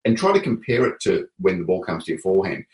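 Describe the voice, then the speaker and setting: A man with a very deep voice explains technique in a relaxed, unhurried manner, often pausing on fillers. The sound carries a roomy echo. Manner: relaxed, hesitant with many fillers. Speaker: table tennis coach. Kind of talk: casual coaching explanation.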